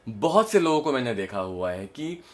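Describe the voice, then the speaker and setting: A man's voice: medium pitch, sing-song, normal speed, darkish tone. A man with an Indian accent explains like a voice coach, stressing points and often checking that the listener follows. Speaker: voice modulation coach. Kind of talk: instructional explanation to camera with demonstrations.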